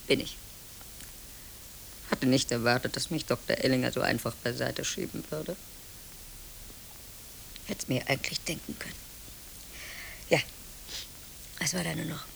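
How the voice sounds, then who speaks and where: An actress playing a disappointed, resigned office employee in a radio play, halting and muttering to herself, on a hissy old recording. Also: female voice, a bass voice, gravelly, full character voice, low-quality recording. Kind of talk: German radio play acting.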